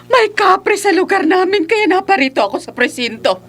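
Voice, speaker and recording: A woman's voice: very high voice, big pitch swings, moderate pace, fairly bright tone, exaggerated voice. A woman playing a worried, insistent village mother in a horror radio drama, pleading with emphasis. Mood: urgent pleading.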